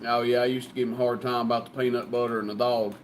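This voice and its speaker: A man's voice: medium pitch, flat delivery, fast, noisy background. A man chatting casually as the host of a CB radio live stream. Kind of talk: casual chat.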